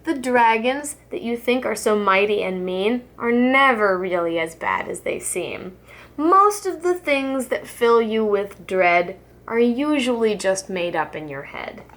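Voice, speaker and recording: A woman reading the part of a wise, reassuring father king in a rhyming children's storybook, earnest, measured and instructive. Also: a little high, animated, fairly bright tone. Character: wise, reassuring father king writing to his young son.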